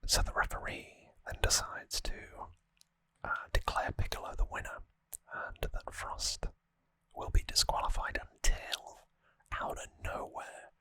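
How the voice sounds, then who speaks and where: A person whispering an ASMR recap in a hushed, breathy, conversational way, close to the microphone. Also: measured pace, no room sound, low-quality recording. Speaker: ASMR host. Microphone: close, dry microphone.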